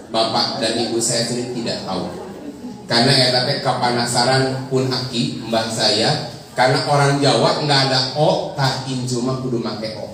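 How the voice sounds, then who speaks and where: Joking Sundanese-accented preacher in echoey hall. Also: male voice, medium pitch. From Bandung, Indonesia. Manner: joking, chatty, laughs often. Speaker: preacher and guest speaker. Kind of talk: casual storytelling about his childhood. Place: large echoey hall with an audience. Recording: echoey hall, distant mic, audience murmur.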